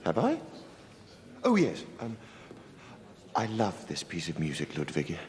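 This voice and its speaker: An actor playing a genial aristocratic music patron in a television period drama, courteous and slightly hesitant. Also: male voice, sits low, huge pitch swings, a little slow, a little mellow, full character voice. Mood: genial, admiring. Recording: reverberant hall.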